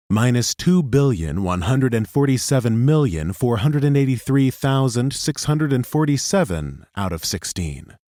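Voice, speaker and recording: A man narrating a fantasy audiobook in a measured, even way. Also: lowish voice, no echo, studio quality. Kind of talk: scripted narration.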